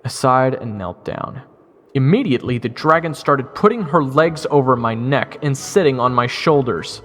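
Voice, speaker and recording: Man playing a wry, animated jester in reverb. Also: medium pitch, moderate pace. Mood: narrating. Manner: casual, wry, awkward. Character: wisecracking, easily flustered jester telling his own story. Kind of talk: first-person audio drama narration and dialogue. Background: music.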